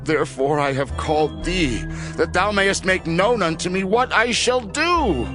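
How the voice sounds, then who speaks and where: A man's voice: high-pitched, expressive, measured pace. An actor playing a desperate, fearful king with an impassioned, theatrical delivery in a scripture drama. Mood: distressed. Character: desperate, fearful king seeking forbidden counsel. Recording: music bed behind the voice.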